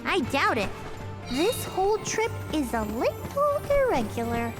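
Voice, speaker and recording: An actress playing a sassy, proud little sprite in a video game, with a cheeky, dismissive manner. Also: female voice, high voice, huge pitch swings, measured pace, over music, exaggerated voice. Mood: matter-of-fact.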